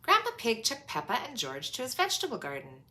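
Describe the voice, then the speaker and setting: Lively, bright-voiced woman reading to children. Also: a little high. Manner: animated, emphatic, drawn-out words for young listeners. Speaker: storyteller reading a children's book aloud. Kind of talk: expressive children's read-aloud with asides.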